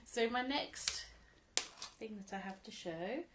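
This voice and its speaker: A woman in her thirties, chatting casually at home about her crochet, a little croaky from a cold, rambling and laughing often. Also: fairly high pitch, lively intonation, slow pace, heavy background noise. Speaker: crochet vlogger, mother. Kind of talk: casual show-and-tell chat.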